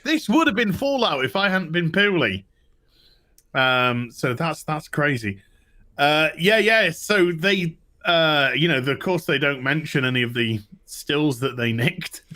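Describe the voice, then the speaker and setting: A man's voice: on the high side, animated, a little slow, pushed and bright. A British man co-hosting a TV review show, telling things in a casual, rambling way. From United Kingdom. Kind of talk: casual recap chat.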